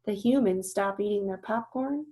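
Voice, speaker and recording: A woman's voice: medium pitch, moderate pace, mellow. A woman teacher reading a storybook aloud and explaining it, instructive and often checking that listeners understand.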